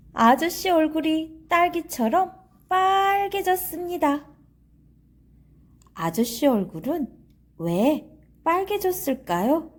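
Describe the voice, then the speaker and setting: A woman's voice: high voice, darkish tone. A woman narrating a children's story expressively, in a careful, teacherly way.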